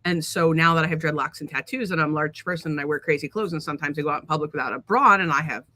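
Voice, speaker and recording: A woman co-hosting a podcast, loud and brash, swearing freely in crude comedic banter. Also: low-pitched, very fast, no echo. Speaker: podcast co-host.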